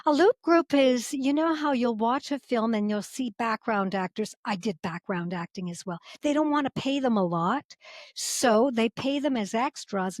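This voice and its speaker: Woman guest, animated storyteller, dry close sound. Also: fairly high pitch, dry recording. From Canada, Edmonton. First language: English. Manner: animated, chatty storyteller with frequent fillers. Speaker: guest, interpreter and former actress. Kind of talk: casual podcast interview.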